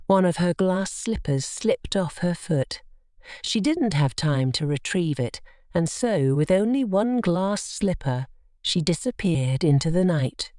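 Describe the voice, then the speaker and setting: A woman narrating a scripted children's bedtime story in an expressive, measured storytelling manner. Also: low voice, dry recording, studio quality.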